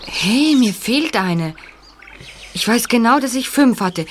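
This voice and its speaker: A woman's voice: fairly high pitch, expressive, slow, slight background noise. A woman playing an earnest young detective sidekick in a German audio drama, with a plain youthful delivery. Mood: puzzled.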